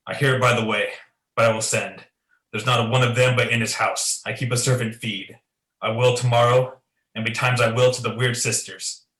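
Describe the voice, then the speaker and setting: A man's voice: mid-range voice, flat delivery, normal speed, a bit bright, natural voice. A young man playing an ambitious, guilt-ridden king in a Shakespearean radio play, declaiming in a commanding, theatrical way. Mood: brooding, resolute. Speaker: student performer. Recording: echoey room.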